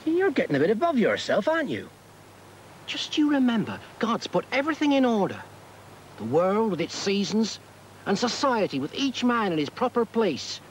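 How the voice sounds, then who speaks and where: Moralizing medieval man; lively, swooping pitch, light background rumble. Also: normal speed, poor audio. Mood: lecturing. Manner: scolding, moralizing. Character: stern preachy medieval man, lecturing on order. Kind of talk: educational drama acting. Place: outdoors under trees.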